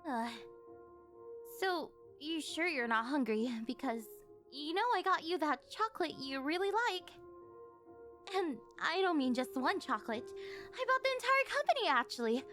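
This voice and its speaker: A high, very animated voice from a woman acting as a rich older yandere girlfriend in an ASMR roleplay. She is doting and possessive, coaxing her sweetheart in a sweet, slightly awkward ramble. Faint music plays behind her. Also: normal speed. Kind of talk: scripted ASMR roleplay spoken to the listener.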